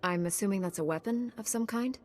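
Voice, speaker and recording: An actress playing a composed, dutiful female knight in a video game, measured and matter-of-fact, with faint background noise. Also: mid-range voice, close to an everyday voice. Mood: composed, resolute.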